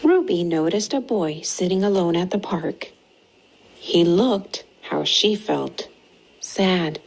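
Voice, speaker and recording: A woman reading a picture book aloud to young children in an expressive, deliberate way with dramatic pauses. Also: on the low side, poor audio. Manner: slow, deliberate, animated storytelling with dramatic pauses. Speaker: storytime reader for young children. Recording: clearly audible background noise.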